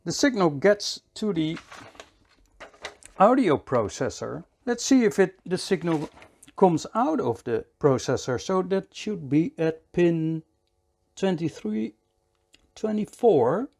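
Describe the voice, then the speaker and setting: Slow-paced man with a higher-pitched voice. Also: sing-song, vocal fry, darkish tone. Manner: measured step-by-step, counts and repeats phrases. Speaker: electronics repair presenter. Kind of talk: hands-on tutorial commentary.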